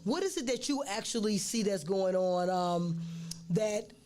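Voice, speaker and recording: A woman's voice: medium pitch, lively intonation, measured pace, a little mellow, slight background noise. A woman hosting a casual interview, rambling and restarting her words with many fillers. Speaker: host.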